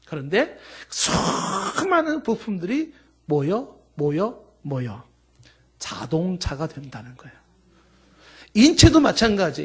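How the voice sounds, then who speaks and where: A middle-aged man delivers a Sunday sermon in a strikingly high voice. He builds long spoken lists, pushing louder and slower on key words, then sinking low and quiet at the ends of sentences. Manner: emphatic preaching with long spoken lists, swinging from loud to soft. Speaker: pastor.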